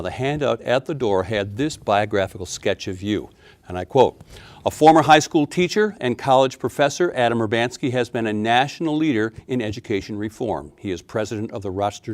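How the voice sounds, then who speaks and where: A man's voice: fairly low pitch, darkish tone. A man hosting an interview show, reading a formal introduction and asking conversational questions. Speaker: host.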